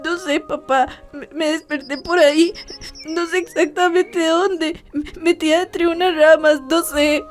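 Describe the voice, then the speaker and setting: An actress playing a headstrong young woman in a radio soap opera, with a Costa Rican accent and halting, breathless delivery. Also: very high-pitched, steady tone, soft background music. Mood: frightened, confused. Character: headstrong young woman, secretly in love, daring daughter.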